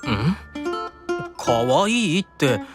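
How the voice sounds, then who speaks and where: A man playing a gentle, patient, softly teasing angel in an improvised audio drama, with a calm, soothing manner. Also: a little high, very slow, music in the background, exaggerated voice. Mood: amused, puzzled.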